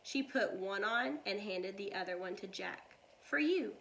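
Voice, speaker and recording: A woman reading a children's story aloud like a teacher, with deliberate, expressive delivery. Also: medium pitch, a little hiss or hum, poor audio.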